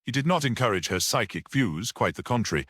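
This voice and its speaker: Deep-voiced man narrating a story, expressively. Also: lively intonation, fairly quick, a bit bright, no room sound, studio quality. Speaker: audiobook narrator. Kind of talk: scripted literary story narration.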